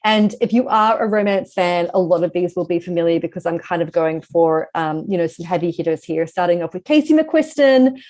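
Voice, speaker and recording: A woman's voice: on the low side, lively intonation, a little fast, hardly any pauses, a little mellow. Woman author chatting in an interview. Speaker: guest author. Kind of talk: casual interview chat.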